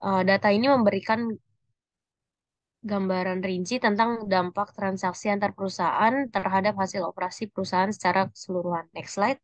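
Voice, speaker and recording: A young woman reading answers aloud in a student presentation, halting and restarting words as she goes. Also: medium pitch, fairly even intonation, dry recording. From Indonesia. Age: young adult. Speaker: university accounting student presenting.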